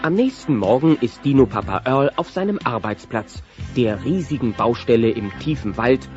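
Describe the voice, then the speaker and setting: A man's voice: mid-range voice, animated, normal speed, music in the background. A man narrating a scripted audio drama for listeners. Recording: muffled cassette transfer.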